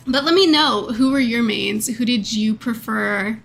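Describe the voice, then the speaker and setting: A woman in her thirties streaming a game, talking casually with a stuffed-up nasal voice and a slight croak, rambling with fillers and laughs. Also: on the high side, measured pace, a bit bright, faint music behind. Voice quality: a bit croaky. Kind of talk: casual chat with viewers.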